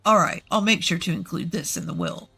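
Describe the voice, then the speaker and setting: A woman voicing a calm, firm older husband and father in a narrated family story. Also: on the low side, brisk, breathy edge, faint music behind, voice pushed down, heavily acted.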